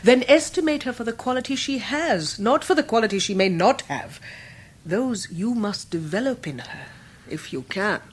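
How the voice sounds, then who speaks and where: An English actress playing a wise older Victorian aunt, measured and affectionate, in a radio drama. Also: female voice, a little high, lively intonation, slightly rough. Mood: firm, wise. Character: gruff but tender, wise old Victorian great-aunt. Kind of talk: 1990s radio drama acting.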